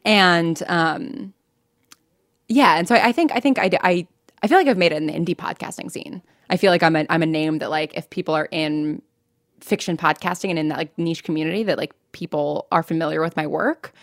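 A woman's voice: low-pitched, fairly quick, dry recording, studio quality. An American woman speaking candidly as an interview guest, in a chatty, informal way with lots of "like" and self-interruptions. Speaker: interview guest, fiction podcast writer and producer.

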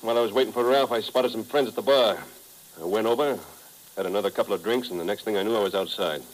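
An actor playing a hungover, irritable American playboy who talks in weary casual slang in a 1950s radio drama. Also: male voice, on the low side, fast talker, close to an everyday voice, low-quality recording. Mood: weary, candid.

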